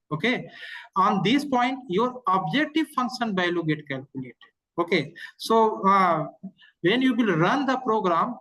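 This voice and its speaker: Halting online instructor explaining step by step. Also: medium pitch, fairly bright tone, poor audio. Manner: halting, repetitive, with frequent verbal tags. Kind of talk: live online technical lecture answering questions.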